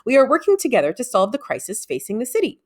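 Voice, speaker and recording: Impatient elderly magician played by a female reader, commanding, curt, lively intonation, storybook fantasy. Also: a little high, fast talker, pitched above the performer's own voice. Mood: stern. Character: stern, impatient old male wizard master.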